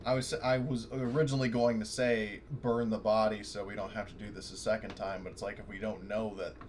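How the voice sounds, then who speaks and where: A man's voice: fairly low pitch, little pitch movement, brisk, a little hiss or hum. A man playing in a tabletop roleplaying game, talking casually and rambling with restarts and backtracks. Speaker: player.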